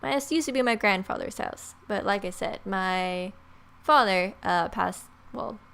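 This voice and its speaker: A woman's voice: mid-range voice, a little slow, gravelly, fairly bright tone, clean tone. A woman in her twenties reading visual novel text aloud with casual, joking asides, recorded at home. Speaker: gaming video creator. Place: small room at home, indoors.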